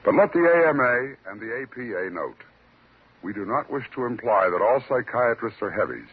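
A man hosting a 1950s radio drama, giving a scripted introduction in a deliberate, wry, formal manner. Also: mid-range voice, animated, breathy edge. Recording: thin, narrow-band vintage radio recording.

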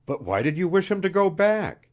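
Storybook role: male reader as earnest adventurer man, blunt, questioning, raised animated pitch. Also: moderate pace. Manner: direct, questioning, sometimes harsh.

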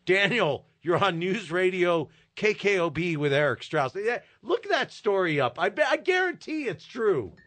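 A man's voice: sits high, normal speed, hardly any pauses, no room sound. A man hosting a call-in talk radio show, ranting in disbelief and riffing with callers. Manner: animated, incredulous ranting. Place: radio studio. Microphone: studio microphone.

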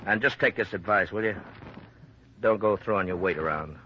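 A deep male voice acted by a man as a gruff, cautioning western local. The style is old radio drama, on a muffled recording with faint noise. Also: moderate pace, lightly acted. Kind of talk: 1950s radio drama acting.

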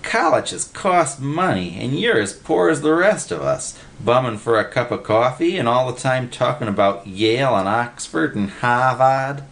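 A man's voice: medium pitch, sing-song, unhurried, vocal fry, few pauses, fairly bright tone, pitched above the performer's own voice, heavily acted. A man voicing a dim, whiny male bum who talks in uneducated slang and looks up to his friend, in a storybook reading. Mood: admiring, teasing. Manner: whining, uneducated slangy talk.

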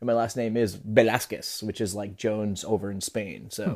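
A man's voice: on the low side, fairly even intonation, moderate pace, a little mellow. A man, a guest chatting casually and with easy, self-deprecating amusement. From New Jersey, USA. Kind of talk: casual chat over a call.

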